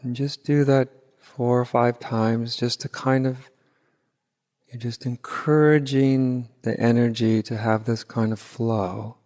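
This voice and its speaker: A man leading an unscripted guided meditation as a teacher, gently and unhurriedly, with long pauses. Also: mid-range voice, fairly even intonation. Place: large reverberant room at a retreat. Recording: hall reverb, live retreat recording.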